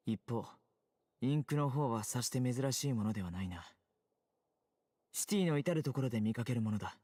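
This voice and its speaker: A serious young male investigator from a video game, played by an actor, with a mid-pitched voice in a dry, close recording. He talks briskly and analytically, stating observations matter-of-factly. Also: a little mellow, dry recording, close to an everyday voice. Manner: measured, matter-of-fact, analytical. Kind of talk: Japanese game voice acting.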